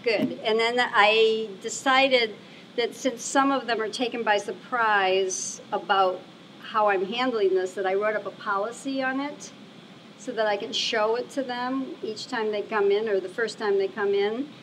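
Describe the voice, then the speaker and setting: Woman acting as a receptionist, slightly high voice, everyday conversational delivery, training film, background noise. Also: fairly even intonation, a little slow, fairly bright tone, low-quality recording. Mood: cooperative, businesslike. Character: female clinic receptionist, staff member being trained. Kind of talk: acted workplace role-play, conversational.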